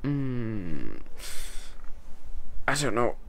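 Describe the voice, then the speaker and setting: A man's voice: medium pitch, huge pitch swings, very slow, vocal fry, fairly bright tone. A young man, chatting casually to camera from his bedroom, rambling and poking fun at himself. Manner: chatty, rambling, self-mocking with small laughs. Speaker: vlogger. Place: bedroom, indoors. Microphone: camera mic at close range.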